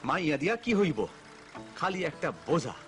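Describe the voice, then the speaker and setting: Man acting village grandfather, cartoon, blustering, exclamatory, Bangladeshi accent, very lively intonation, music behind. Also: a little high, brisk, close to an everyday voice. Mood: disgruntled. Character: grumbling, excitable village grandfather with old-fashioned views.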